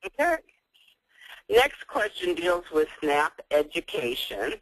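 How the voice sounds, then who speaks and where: A man's voice: fairly high pitch, big pitch swings, slow pace, no room sound, poor audio. A man moderating a webinar, reading out audience questions and adding brief acknowledgments.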